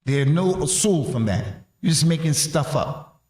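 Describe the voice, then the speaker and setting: A man's voice: fairly high pitch, expressive, measured pace, a little mellow. American man preaching in an echoey room. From North America. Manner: emphatic, repeating key phrases; colloquial, with rhetorical questions. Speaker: preacher giving a religious reminder to youth. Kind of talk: informal sermon.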